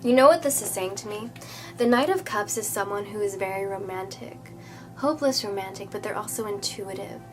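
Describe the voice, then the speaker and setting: A woman with a slightly stuffy, nasal voice gives a relaxed tarot reading straight to her viewers. Her pitch sits right in the middle, and she chats warmly and reassuringly, tossing in "okay?" and "you know" as she goes. Clear music plays under her. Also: fairly quick. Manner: chatty, reassuring, many fillers and "okay?". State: nasal from a cold. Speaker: tarot reader. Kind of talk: casual tarot reading to camera.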